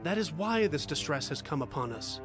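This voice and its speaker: An actor playing an earnest, anxious brother and humble petitioner in a dramatized scripture recording, speaking in a pleading way. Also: male voice, a little high, very animated, moderate pace, music in the background. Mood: remorseful. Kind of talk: dramatized scripture audio acting.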